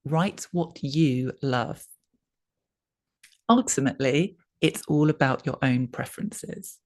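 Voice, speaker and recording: A woman reading a written advice article aloud as scripted narration, in measured, clearly phrased sentences. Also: a bass voice.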